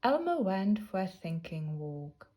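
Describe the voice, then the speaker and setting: A woman narrating a children's picture book in a deliberate, expressive storytelling manner. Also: medium pitch. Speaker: storybook reader.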